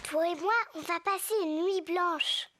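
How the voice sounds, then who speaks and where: A woman voicing an excitable, cheeky little girl pig in a preschool cartoon, eager and childlike. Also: extremely high pitch, unhurried, a little hiss or hum, exaggerated voice.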